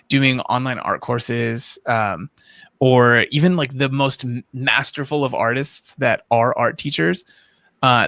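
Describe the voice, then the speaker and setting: A man's voice: medium pitch, fairly bright tone, dry recording, studio quality. A man hosting a livestream, explaining his points at length with many qualifications in a casual discussion style. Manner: explanatory, long-winded, qualifying. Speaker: livestream host.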